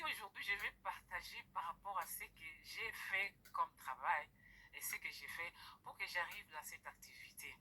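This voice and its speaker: A woman's voice: on the low side, sharp tone, slightly rough. Hesitant woman speaking on a tinny line. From Democratic Republic of the Congo. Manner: hesitant, with many pauses and fillers. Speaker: guest speaker. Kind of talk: personal testimony to an audience. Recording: tinny phone-like recording.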